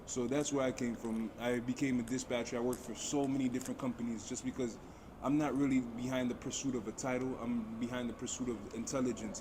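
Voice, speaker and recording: A middle-aged man from Haiti speaking as a panelist in an emphatic, motivational way, like a personal testimony. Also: medium pitch. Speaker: aviation dispatcher, panelist. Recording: noisy busy venue, vehicle-like ambient noise. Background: loud ambient venue noise.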